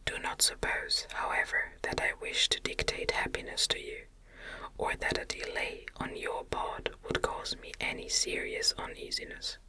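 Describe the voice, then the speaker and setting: A woman reading a classic novel aloud in a gentle, soothing, breathy ASMR whisper, with measured phrasing. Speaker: audiobook narrator.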